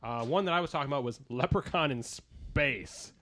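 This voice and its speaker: A man's voice: medium pitch, normal speed, sharp tone. A man hosting a pop culture podcast in a casual, chatty group discussion. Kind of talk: casual panel chat.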